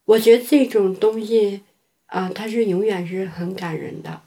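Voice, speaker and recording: A woman's voice: mid-range voice, moderate pace, mellow. A woman from China, a performance artist, giving spontaneous interview answers, mixing in English words and rephrasing as she goes.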